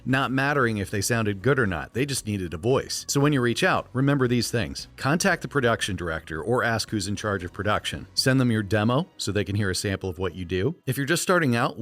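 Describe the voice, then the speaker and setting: An American man with a trained radio voice, coaching viewers in a clear, emphatic, scripted presentational style. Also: on the low side, a bit bright. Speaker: voiceover coach and video host. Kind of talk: scripted instructional narration to viewers.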